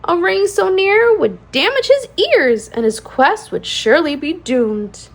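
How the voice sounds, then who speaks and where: A woman with a high voice reads a picture book to young children. Her delivery swings widely up and down, with a singsong rhyming cadence and plenty of expressive emphasis on key words. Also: a little slow. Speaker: children's read-aloud channel host. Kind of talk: animated storybook read-aloud for young children.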